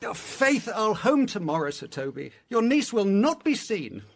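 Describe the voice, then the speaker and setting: An actor playing a foolish, vain and timid knight in a Shakespearean radio comedy, hesitant, fussy and plaintive. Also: male voice, high voice, sing-song, normal speed, gravelly, exaggerated voice. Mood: sulky.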